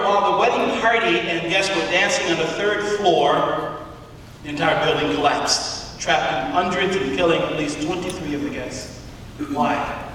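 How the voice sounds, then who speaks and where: A man delivering a sermon, speaking emphatically and deliberately with rhetorical questions and pauses in a large auditorium. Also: on the high side, fairly bright tone. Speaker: preacher giving a public address. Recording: echoey hall from far off, faint noise.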